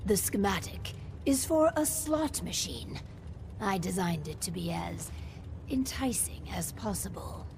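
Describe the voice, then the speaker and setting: A woman voicing a cold, ambitious vault overseer in a video game, with a haughty, measured, formal manner. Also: mid-range voice, lively intonation. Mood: proud, scheming. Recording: clear background machinery noise.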